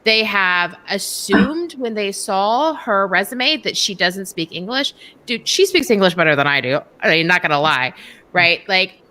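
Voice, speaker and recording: A woman hosting a casual panel discussion on a video call, talking in an impassioned, animated way with quick asides. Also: medium pitch.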